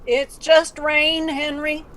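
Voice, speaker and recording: High-pitched, querulous mother played by an actress. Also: little pitch movement, takes it slowly, fairly bright tone. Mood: dismissive. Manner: fretful, complaining. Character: confused, querulous mother, scolding and forgetful. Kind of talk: dramatized audio storytelling.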